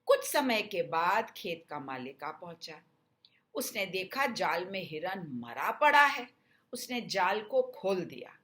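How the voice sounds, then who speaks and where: A woman's voice: fairly low pitch, very animated, slow, fairly bright tone. A woman narrating a scripted children's story in an explanatory way meant for young listeners.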